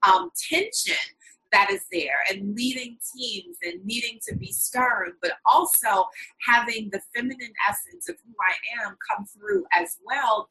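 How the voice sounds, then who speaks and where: A woman's voice: a little high, bright, forceful tone, rough recording. A woman hosting an interview, giving an earnest, enthusiastic introduction of her guest and drawing out words for emphasis. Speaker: interview host.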